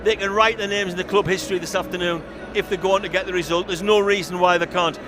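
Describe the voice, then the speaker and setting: A man's voice: sits high, fairly even intonation, quick pace, a crowd in the background. A man talking as a guest on a live pre-match football show, upbeat and emphatic, speaking outdoors at a stadium. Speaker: studio guest. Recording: loud outdoor noise behind.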